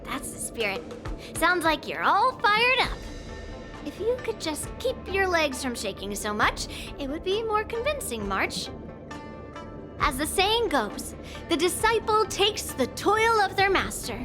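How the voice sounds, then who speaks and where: A confident swordmaster mentor teases and reassures her pupil in a high, lightly amused voice. Game music runs underneath. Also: very animated, a little slow, full character voice. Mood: teasing. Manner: teasing, coaxing and self-assured. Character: confident teasing swordmaster mentor, young woman. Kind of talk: game cutscene voice acting. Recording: game audio with music behind.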